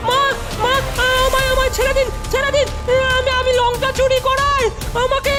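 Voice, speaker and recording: A woman's voice: very high voice, little pitch movement, normal speed. A woman voicing a greedy, menacing old witch in a cartoon, with a theatrical manner. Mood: panicked pleading. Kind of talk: cartoon voice acting. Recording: loud music and crowd noise behind.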